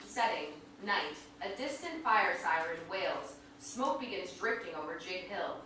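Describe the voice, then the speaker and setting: A woman's voice: on the low side, expressive, a little slow, bright, forceful tone. A woman reading stage directions as dramatic scripted narration. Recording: echoey hall from far off, faint noise.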